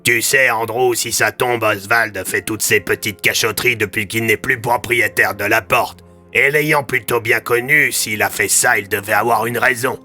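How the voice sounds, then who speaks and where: A man's voice: lowish voice, steady tone, normal speed, pushed and bright, soft background music. A man voicing a talking crow with a familiar, slangy manner, in an audio drama. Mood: wry. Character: talking crow, male, cheeky slangy old friend. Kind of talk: French audio drama character acting.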